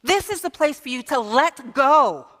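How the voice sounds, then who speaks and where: A woman's voice: sits high, animated, unhurried, a bit bright. Woman preaching in a reverberant church. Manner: storytelling with rhetorical questions and rising emphatic peaks. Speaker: minister. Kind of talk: sermon. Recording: long hall reverb.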